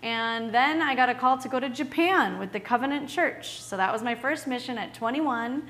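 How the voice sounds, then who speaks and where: A woman's voice: fairly high pitch, normal speed, fairly bright tone. A woman from Wisconsin, a missionary, introducing herself to a church congregation in a cheerful manner and laughing often. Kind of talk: church presentation.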